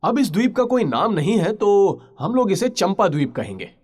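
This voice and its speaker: A man's voice: sits high, sing-song, moderate pace, a little mellow. A man playing a bold pirate warrior in a dramatised audio story, speaking in a theatrical, commanding way. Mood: exuberant. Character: bold young male pirate warrior, commanding yet tender.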